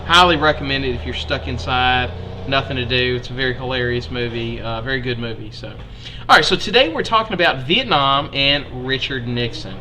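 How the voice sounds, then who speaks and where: A man's voice: medium pitch, lively intonation, fairly quick, few pauses, bright, forceful tone, slight background noise. A young man with an Alabama accent teaching a history lesson in a chatty, folksy way to his students.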